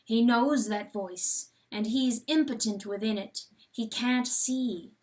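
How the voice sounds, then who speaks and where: A woman giving a dramatic, intense and deliberate storybook narration. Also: a little high, a little mellow, rough recording. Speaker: audiobook narrator.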